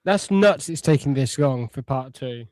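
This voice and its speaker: A man's voice: medium pitch, animated, a little slow, a little mellow, dry recording. A man in his thirties on a podcast, bantering in a crude, self-mocking, joking way. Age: 30s.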